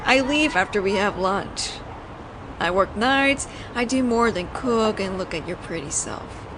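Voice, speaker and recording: A woman's voice: mid-range voice, expressive, measured pace, lightly acted. A woman playing a flirtatious, teasing mafia boss in an audio roleplay, talking in a playful, conversational storytelling way. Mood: playful, affectionate. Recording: noisy outdoor city ambience, traffic.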